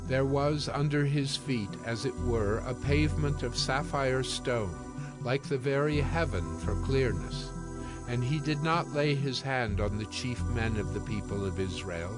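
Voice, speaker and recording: A man narrating scripture from a script in a solemn, measured storytelling manner. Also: medium pitch. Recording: prominent music bed behind narration.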